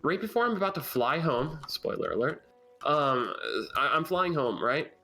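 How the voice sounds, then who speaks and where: A man's voice: on the high side, lively intonation, moderate pace, pushed and bright, faint music behind. A young man chatting casually on a game stream, telling a joking story at his computer mic. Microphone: desk mic at a computer.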